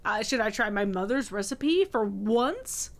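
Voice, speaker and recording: A woman reading aloud the dialogue of an Indian immigrant mother in a cooking game, slowly and thoughtfully. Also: medium pitch, very animated. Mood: musing. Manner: slow, musing read-aloud of game dialogue. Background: soft game music.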